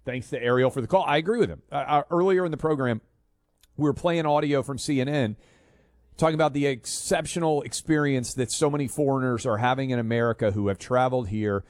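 Opinionated talk radio host, dry studio sound. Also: male voice, mid-range voice, brisk, no room sound. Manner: conversational, opinionated, fillers and restarts. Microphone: studio mic.

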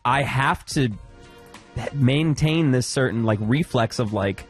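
A man's voice: medium pitch, slow, faint music behind. Candid man in his thirties, casual radio chat. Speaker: radio host.

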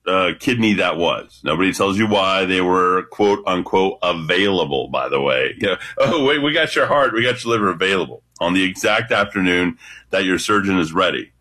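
A man with a deep voice calling into a radio show, talking confidently and animatedly. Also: expressive, normal speed, sharp tone. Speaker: radio caller. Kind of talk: casual radio talk.

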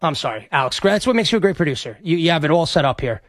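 A male sports radio host in a studio, rambling and excitable as he reacts to a live game, stammering and repeating himself, his voice slightly hoarse. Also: fairly high pitch, very fast, no room sound.

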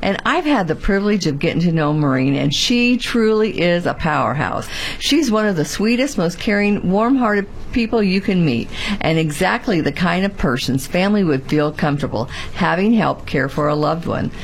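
Woman co-host, lowish voice. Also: expressive, moderate pace, slight background noise, low-quality recording. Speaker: radio show co-host. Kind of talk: prepared talk show presentation.

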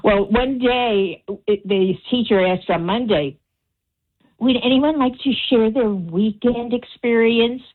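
A woman's voice: a little high, takes it slowly. A woman phones in to a radio talk show and tells her story with chatty flair, acting out each quoted line. Her voice comes through a thin, tinny phone line. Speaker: caller. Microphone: telephone.

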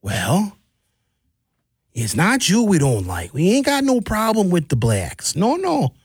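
A folksy barman , as a male radio host puts him on speaks in a heavy Wisconsin accent. He is bluntly prejudiced and protests in drawn-out, insistent phrases. His pitch swoops about, close and dry. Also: wildly swooping pitch, husky, no room sound, heavily acted. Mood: insistent, confiding.

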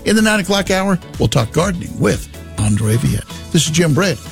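Radio promo guy, gravelly and lively, soft music under him. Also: on the high side, normal speed. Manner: upbeat announcer delivery. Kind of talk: station promo read.

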